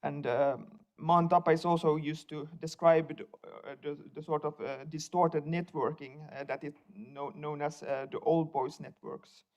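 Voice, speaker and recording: A man's voice: fairly high pitch, fairly even intonation, moderate pace, hardly any pauses. A young man from Finland whose first language is Swedish, giving a conference talk as a researcher, hesitant, with many fillers and repeated words.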